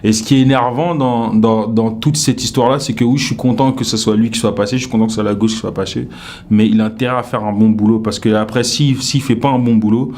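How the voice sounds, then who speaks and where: A young man with a Paris-suburbs accent, talking casually and rambling with fillers in an interview. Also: fairly low pitch. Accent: French from the Paris suburbs, slightly Québec-tinged. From France. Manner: relaxed, rambling, with frequent fillers. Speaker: comedian as interview guest.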